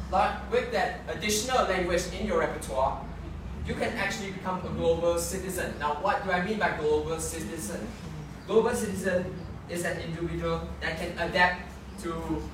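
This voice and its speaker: High-voiced debater, echoey and distant. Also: male voice. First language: not English. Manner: earnest, argumentative, frequent self-corrections. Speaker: student debater. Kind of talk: competitive debate speech. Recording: echoey room picked up from far off, background noise.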